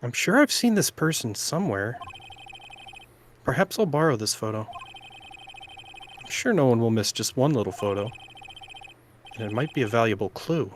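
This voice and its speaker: A man's voice: medium pitch, lively intonation, normal speed, pauses often, slight background noise, natural voice. A man voicing a young defense lawyer from a video game, narrating what he observes in a wry, matter-of-fact way. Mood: musing, matter-of-fact.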